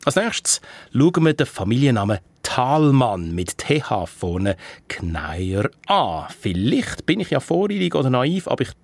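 Swiss radio presenter, man, husky voice, dry close sound. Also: medium pitch, big pitch swings, unhurried, no echo. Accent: Swiss German.

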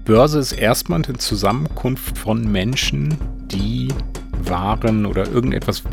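A man's voice: medium pitch, a little slow, vocal fry, soft background music. A man explaining as an expert, carefully and hesitantly building up definitions.

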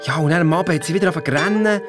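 A performer playing a cheeky, excitable schoolboy detective in a children's audio drama, telling things in slangy Swiss German-accented speech. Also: mid-range voice, very fast, music in the background, lightly acted. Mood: worried. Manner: slangy, teasing.

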